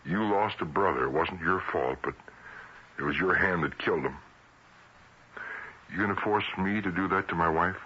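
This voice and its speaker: A dull, slightly hissy old radio recording holds an anxious ordinary man guarding his wife. His low voice is very sharp and strained, halting yet stubbornly earnest. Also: slightly rough, exaggerated voice. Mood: defiant, pleading. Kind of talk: 1970s radio drama acting. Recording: muffled vintage radio recording, faint hiss.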